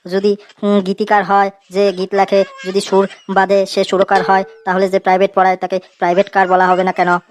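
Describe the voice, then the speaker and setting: A cheeky, slow-witted cartoon schoolboy, voiced by a woman. The pitch stays in a narrow band at a middle height, and the delivery is naive, wheedling and colloquial. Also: monotone, a little hiss or hum, lightly acted. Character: cheeky dim schoolboy, a self-styled poet. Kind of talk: comedy cartoon dialogue.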